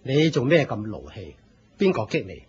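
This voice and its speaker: A man with a Hong Kong Cantonese accent playing a calm, grave sect leader in a radio drama, measured and commanding. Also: medium pitch, lo-fi sound, crowd noise, natural voice. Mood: grave, questioning. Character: calm, grave male sect leader questioning his disciples.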